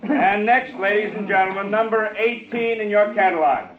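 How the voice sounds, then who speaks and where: Man playing a high-voiced, weary auctioneer. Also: moderate pace, natural voice. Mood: coaxing. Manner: projecting, repetitive sales patter. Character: weary pleading auctioneer working a reluctant room. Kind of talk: 1950s radio acting, auction patter. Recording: noisy echoey tinny old radio recording.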